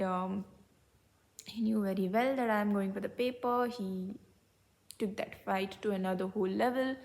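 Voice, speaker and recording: A young woman with an Indian English accent, a little hoarse from being unwell, telling a personal story in a candid, reflective vlog and slipping into Hindi mid-sentence. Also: mid-range voice. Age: young adult. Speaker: vlogger.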